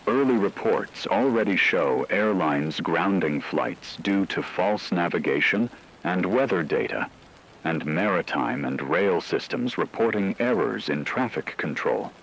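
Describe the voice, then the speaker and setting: A man playing a grave radio news anchor, reading a scripted crisis bulletin in a measured, formal, serious way. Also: sits low, animated, gravelly, breathy edge, full character voice, low-quality recording. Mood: grave, urgent.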